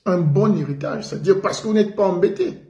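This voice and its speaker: A man from the Congo delivers an emphatic, indignant radio commentary. His voice is warm and dark and sits a little higher than most men's. The room around him rings with echo. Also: brisk, muffled recording. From Republic of the Congo. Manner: indignant, emphatic, repeats for stress. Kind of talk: political commentary monologue. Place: living in France.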